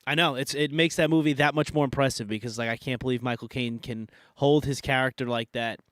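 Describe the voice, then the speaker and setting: A man's voice: mid-range voice, fairly even intonation, brisk, a bit bright, no echo, clean studio sound. Nasal man getting over a cold. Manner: rambling, many fillers and restarts. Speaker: podcast co-host. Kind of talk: casual debate chat.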